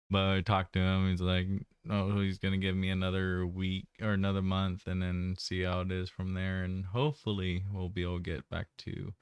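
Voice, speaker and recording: A man's voice: low-pitched, clean tone, dry recording, clean studio sound. A man giving a casual personal update, rambling and hesitant, often trailing off mid-thought. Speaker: vlogger.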